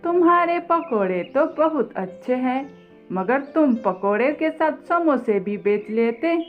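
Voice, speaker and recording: Lady doing a eager flattering crow guy for a kids cartoon, muffled audio with music behind. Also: sits high, slow. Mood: gushing, persuasive. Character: flattering, scheming male crow, chummy and eager.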